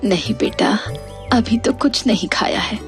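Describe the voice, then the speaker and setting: A woman voicing a frail, meek, sick elderly mother in a cartoon, with a gentle plaintive manner. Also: medium pitch, lively intonation, a little slow, soft background music. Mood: meek, ailing. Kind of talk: Hindi moral-story cartoon dubbing.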